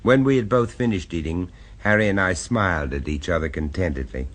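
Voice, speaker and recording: An actor in an old radio drama playing a genteel, skeptical dinner guest who also narrates the story, with a wry, faintly amused manner. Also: male voice, deep voice, fairly quick. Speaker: narrator and diner. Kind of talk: 1970s radio drama acting. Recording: old radio broadcast recording.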